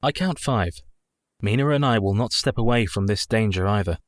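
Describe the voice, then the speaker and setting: Male actor, young husband, earnest, formal, lowish pitch, close dry recording, radio play. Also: normal speed, no echo, lightly acted. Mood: firm, earnest.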